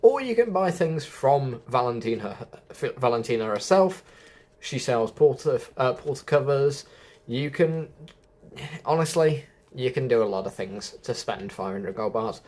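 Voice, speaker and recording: A man's voice: mid-range voice, expressive, a little slow, darkish tone. A man giving chatty game quest walkthrough commentary as a host, often correcting himself as he goes. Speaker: gaming video host.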